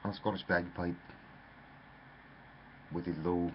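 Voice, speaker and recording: A man's voice: low voice, flat delivery, a little slow. A man telling a rambling, theatrical story, addressing the listener as "lad". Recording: dull lo-fi with faint noise.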